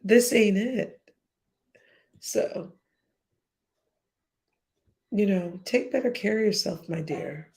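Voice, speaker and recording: A woman's voice: medium pitch, lively intonation, slow, pauses often, darkish tone. A woman talking casually on a livestream, blunt and profane, with a slightly whiny-sounding voice, speaking straight to her viewers. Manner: blunt, profane, chatty, addresses chat directly. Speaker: YouTube live streamer.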